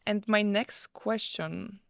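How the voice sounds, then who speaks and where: Polish-accented woman hosting a live event, slow, gravelly edge, dry close sound. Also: mid-range voice, fairly even intonation, no echo. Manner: warm, with soft laughs and fillers. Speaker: host.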